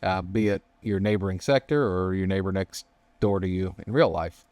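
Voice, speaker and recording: Lowish-voiced man, tentative casual co-host. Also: normal speed, darkish tone, no echo. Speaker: podcast co-host. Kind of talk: casual discussion.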